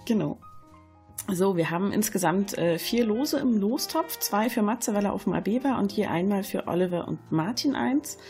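A woman's voice: mid-range voice, expressive, normal speed, darkish tone, soft background music. A woman co-hosting a podcast, speaking casually as she explains and announces things. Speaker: podcast co-host. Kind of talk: casual chat, announcing contest details.

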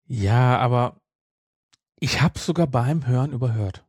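A man's voice: mid-range voice, measured pace, a little mellow, slightly rough, no echo, clean studio sound. A man chatting casually as a podcast co-host, rambling and repeating his phrases. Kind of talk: casual chat.

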